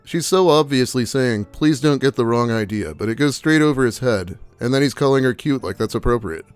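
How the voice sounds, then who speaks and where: A male host reads internet posts aloud and comments on them in a dry, mocking tone, with blunt profanity. His voice sits at an ordinary middle pitch. Quiet music plays faintly underneath. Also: animated, brisk, clean tone, no room sound. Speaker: YouTube host reading online posts.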